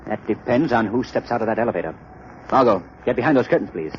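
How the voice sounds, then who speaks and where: Suave, dramatically swooping gentleman sleuth played by an actor. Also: mid-range voice, animated, quick pace, natural voice. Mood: urgent, wary. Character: suave, composed, commanding gentleman amateur sleuth. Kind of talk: 1930s radio mystery acting. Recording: dull, noisy 1930s radio recording.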